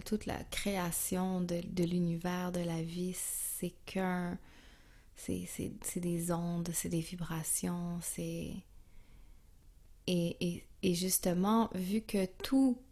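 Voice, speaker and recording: A woman with a Quebec French accent speaking as an interview guest, calm and reflective, searching for her words. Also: on the low side, slow pace. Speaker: interview guest, yoga and music teacher. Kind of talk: reflective, casual interview talk.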